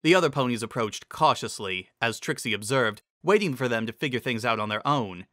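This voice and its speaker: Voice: medium pitch, animated, brisk, a bit bright, no room sound, clean studio sound. A narrator giving a dramatic scripted reading of a fantasy story. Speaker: narrator reading fan fiction.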